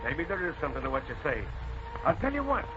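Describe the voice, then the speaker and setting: A blustery veteran police sergeant, higher-pitched. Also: male voice, very animated, fast talker. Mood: blustery, resolute. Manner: blustery, folksy. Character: gruff veteran male police sergeant, folksy, middle-aged. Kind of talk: 1940s radio acting. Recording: noisy muffled old radio recording.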